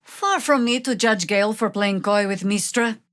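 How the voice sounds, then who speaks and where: A middle-aged woman playing a seasoned, wry druid warrior in a fantasy video game, speaking in a measured, dry, formal way. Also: medium pitch, a bit bright, no echo, lightly acted. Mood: dry, cautioning. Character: seasoned, wry female druid warrior, weary but resolute.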